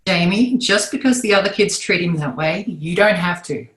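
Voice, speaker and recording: A woman voicing a gentle, caring sitcom mom in an amateur table read, soothing and motherly. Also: fairly low pitch, animated, normal speed. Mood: gently reproving. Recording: echoey room over online call.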